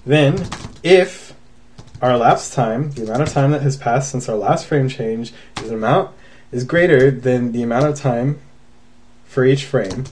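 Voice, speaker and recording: Slow, mellow man talking through code. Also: medium pitch, slight background noise. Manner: casual, thinking aloud with many ums. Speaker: programming tutorial host. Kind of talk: screencast coding tutorial.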